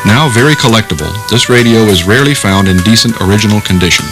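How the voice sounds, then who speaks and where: A man's voice: fairly low pitch, expressive, quick pace, over music. A man reading scripted documentary narration.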